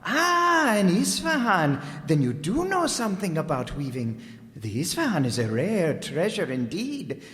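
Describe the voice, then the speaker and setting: An actor playing a thin, impeccably mannered rug dealer, formal and fawning, in a radio detective drama. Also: male voice, sits high, very animated, measured pace, a bit bright, full character voice. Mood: eager, fawning. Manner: unctuous, formal, deferential. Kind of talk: old-time radio detective drama acting. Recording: reverberant hall recording.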